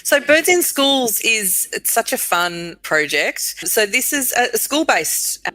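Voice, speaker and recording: Bright-voiced Australian woman explaining projects. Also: fairly high pitch, big pitch swings, takes it slowly, no room sound, rough recording. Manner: enthusiastic, explanatory. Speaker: guest, urban bird programs manager. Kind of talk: conversational project explanation in an interview.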